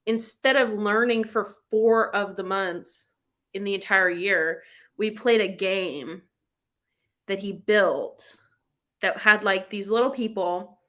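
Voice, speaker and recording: A woman's voice: medium pitch, steady tone, takes it slowly, a bit bright. A woman hosting a casual live book club chat, talking in an informal, slangy, rambling way. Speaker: live show host.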